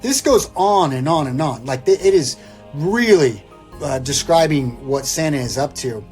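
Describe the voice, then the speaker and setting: A man's voice: a little high, lively intonation, unhurried, a little mellow. A man reciting a poem theatrically and breaking into casual, irreverent joking asides. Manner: wry, irreverent, theatrical when reading verse. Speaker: guest reader, comedic poem reciter. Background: instrumental Christmas music.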